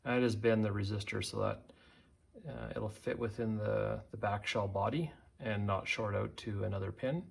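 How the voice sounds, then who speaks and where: A man giving an unscripted hands-on tutorial, talking haltingly as he thinks aloud while working. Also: lowish voice, fairly even intonation, vocal fry, slightly rough. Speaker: tutorial presenter.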